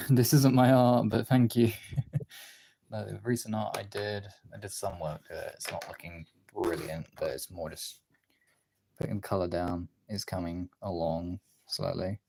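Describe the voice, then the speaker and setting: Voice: low-pitched, steady tone, measured pace, dark tone, poor audio. A young adult livestream host chatting casually and informally while drawing, often muttering to themselves between replies to viewers. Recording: clear background noise, clicks.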